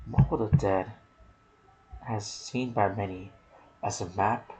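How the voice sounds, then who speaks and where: Male ASMR game narrator: lowish, unhurried, hushed phrasing, with noticeable ambient noise behind the voice. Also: steady tone. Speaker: video game lore narrator. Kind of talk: soft-spoken ASMR game commentary. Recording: clear background noise.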